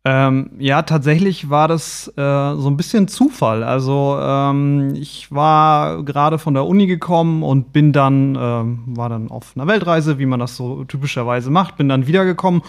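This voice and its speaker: A man's voice: medium pitch, normal speed, creaky, few pauses. A man, speaking as an expert interview guest, hesitant and searching for words. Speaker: guest, payments consultant.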